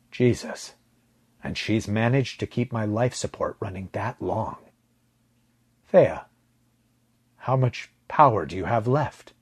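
Male narrator for dramatic first-person fiction, unhurried, heavily breathy and raspy. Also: fairly low pitch. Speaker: story narrator.

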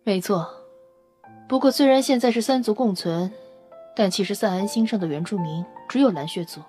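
A woman's voice: medium pitch, normal speed, warm tone, soft background music, lightly acted. A woman playing a brash, fiery, outspoken female vampire scientist in an audio drama, with an emphatic, informal manner. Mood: explaining. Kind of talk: Chinese audio drama acting.

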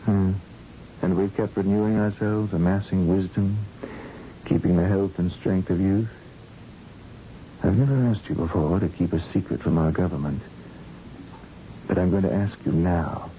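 An actor playing an earnest, secretive research scientist in an old-time radio science fiction drama. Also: male voice, low voice, steady tone, normal speed, pauses often, warm tone, natural voice. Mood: grave, secretive. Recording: dull, noisy old radio recording.

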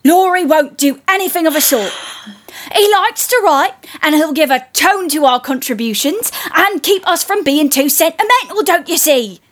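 A woman in an audio play, playing a spirited teenage tomboy who puts on a mock-formal gentleman's manner. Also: high-pitched, expressive, normal speed. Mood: mock-formal, earnest. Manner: mock-formal, eager, theatrical.

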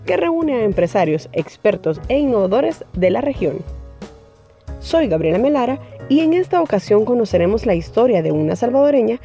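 A woman hosting a business podcast, reading a scripted introduction and asking interview questions in a polished, measured way. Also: mid-range voice, warm tone. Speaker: podcast host.

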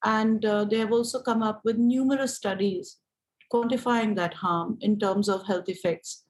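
A woman with an Indian English accent speaking in a measured, explanatory way, as an activist answering interview questions. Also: medium pitch, poor audio. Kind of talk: reflective interview conversation.

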